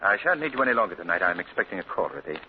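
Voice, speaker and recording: A polished gentleman with a lowish, measured voice, guarded and a little tense. The sound is thin and tinny, with clear hiss, as on a 1940 radio broadcast. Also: big pitch swings. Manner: measured, polished, with small hesitations. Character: composed wealthy gentleman, secretive, tense.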